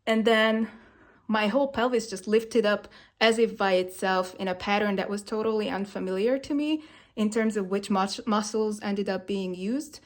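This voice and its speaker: A woman's voice: medium pitch, moderate pace. A woman whose first language is Hungarian, speaking in a reflective, hesitant way with many fillers. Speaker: vlogger sharing movement practice. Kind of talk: reflective personal monologue.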